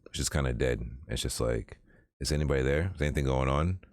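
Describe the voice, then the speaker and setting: A man's voice: a bass voice, fast talker, no room sound, studio quality. A man hosting a podcast, talking in a laid-back, encouraging and slangy way. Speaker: podcast host. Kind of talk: casual chat.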